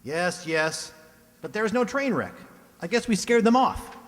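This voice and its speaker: An actor playing a folksy, excitable small-town police chief, full of exclamations, in a radio serial. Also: male voice, sits high, unhurried. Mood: hearty. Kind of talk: old-time radio serial acting. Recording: echoey hall.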